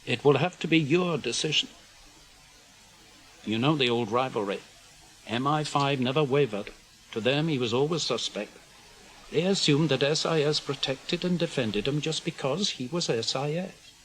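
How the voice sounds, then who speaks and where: An actor playing an older British intelligence officer in a radio drama, guarded and weary, speaking in measured, clipped phrases. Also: male voice, mid-range voice, natural voice, low-quality recording. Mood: earnest, confessional. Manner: measured, clipped, explanatory delivery in short phrases. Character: senior male British intelligence officer, guarded, weary, conscience-burdened spymaster.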